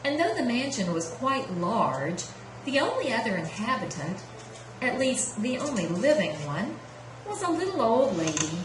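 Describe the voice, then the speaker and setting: A woman's voice: fairly high pitch, very animated, moderate pace. A woman narrating a children's story as a puppet-show storyteller. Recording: roomy sound with clear background noise.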